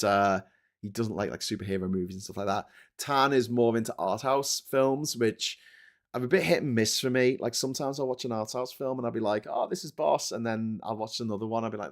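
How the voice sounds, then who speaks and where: A middle-aged man with a Scouse accent chats frankly and animatedly from his home, swearing freely as he goes. His voice sits a little low for a man. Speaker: host. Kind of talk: casual livestream chat. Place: home room.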